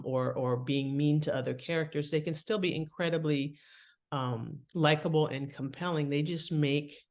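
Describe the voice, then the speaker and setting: A woman speaking as an expert guest in an interview, giving conversational advice and explaining with emphasis on key words. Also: low-pitched. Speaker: guest author and writing expert.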